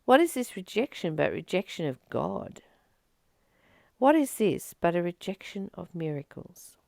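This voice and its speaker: Slow, creaky low-voiced woman. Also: very animated, a little mellow, no room sound, clean studio sound. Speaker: host of a spiritual reading channel. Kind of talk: reading aloud with reflective spiritual teaching.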